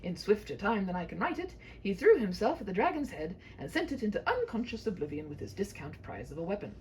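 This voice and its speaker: A woman reads a comic fairy tale aloud as storyteller. Her pitch moves animatedly and her delivery is theatrical and mock-grand, over faint background noise. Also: very animated, fast talker, low-quality recording. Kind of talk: humorous scripted story narration.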